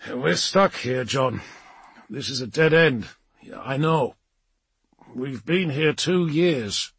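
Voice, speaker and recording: A man's voice: mid-range voice, lively intonation, takes it slowly, creaky, a bit bright, husky, no room sound, pitched above the performer's own voice, heavily acted. A man voicing a weary, stubborn old male wanderer, terse and resigned, in an audiobook. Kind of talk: audiobook character dialogue.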